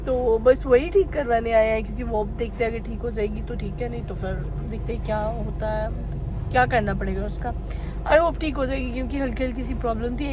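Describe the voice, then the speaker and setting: A young woman, hoarse from a cold, chatting casually to her vlog camera while she waits in a car. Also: a little high, noisy background. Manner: chatty, rambling, mixes in English words. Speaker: vlogger. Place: sitting in a parked car.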